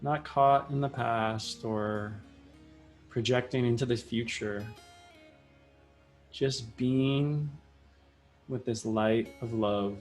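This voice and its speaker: A man leading a guided meditation in a soothing style, speaking in short, measured phrases with long pauses. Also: mid-range voice. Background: soft plucked guitar music.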